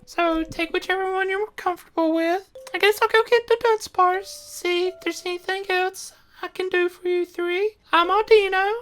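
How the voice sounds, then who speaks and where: Woman as helpful creature medic for a roleplay game: very high pitch, casual, reassuring bedside manner. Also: fairly bright tone. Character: helpful, attentive camp medic creature named Aldino. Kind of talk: tabletop roleplay in character.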